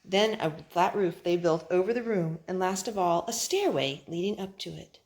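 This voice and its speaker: A woman reading a Bible story aloud to children, with expressive, deliberate phrasing and drawn-out words. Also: on the low side.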